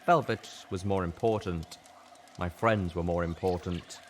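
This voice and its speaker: A man's voice: low-pitched, fairly even intonation, measured pace, a little hiss or hum. A man reading a first-person story in a dramatic audiobook narration style. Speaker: story reader.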